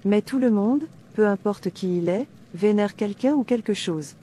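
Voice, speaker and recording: A woman's voice: medium pitch, normal speed, warm tone, slight background noise, lightly acted. A woman playing a devout, defiant princess in a dubbed cartoon, declaiming earnestly like a preacher. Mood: earnest preaching. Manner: declamatory, earnest, citing scripture. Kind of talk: sermon-like dubbed cartoon dialogue.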